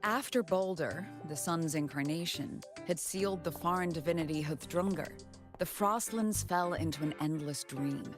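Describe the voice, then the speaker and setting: Low-voiced actress as a poised academy president. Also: female voice, lightly acted. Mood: solemn, composed. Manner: formal, measured lecturing. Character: poised, learned female academy president lecturing on myth. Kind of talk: scripted video game voice acting. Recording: clear game music behind.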